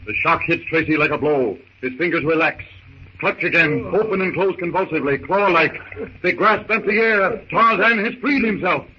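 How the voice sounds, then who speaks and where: A man's voice: on the high side, moderate pace, lo-fi sound, slight background noise. A man narrating a 1930s radio adventure serial in a theatrical, urgent manner with emphatic short phrases, on an old radio recording.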